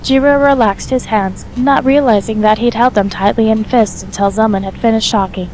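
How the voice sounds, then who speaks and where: A woman's voice: a little high, steady tone, normal speed, darkish tone, a little hiss or hum, poor audio. A woman reading scripted third-person story narration as the narrator of an audio drama.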